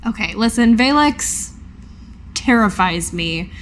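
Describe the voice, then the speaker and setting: A woman talking as a player in a tabletop game, hesitant and deliberate, partly in character. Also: mid-range voice, animated, fairly bright tone, a little hiss or hum. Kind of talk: in-character casual game discussion.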